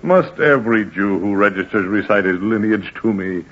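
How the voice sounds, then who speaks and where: An actor playing an impatient, haughty Roman census official in a 1940s radio drama, curt and officious. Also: male voice, on the low side, lively intonation, normal speed, fairly bright tone. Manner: curt, officious, world-weary. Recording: dull, muffled 1940s radio recording.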